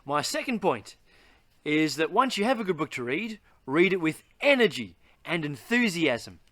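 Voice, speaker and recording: A man's voice: fairly high pitch, big pitch swings, moderate pace, fairly bright tone, dry recording. A man hosting a read-aloud and giving tips on reading stories, speaking in a theatrical, enthusiastic way. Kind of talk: animated read-aloud and instructional talk.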